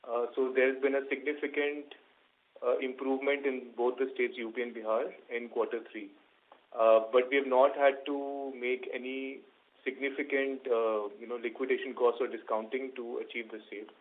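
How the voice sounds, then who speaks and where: A man with an Indian accent, a company executive answering questions on an earnings call in halting, measured corporate phrasing. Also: mid-range voice, little pitch movement. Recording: narrow tinny conference phone line.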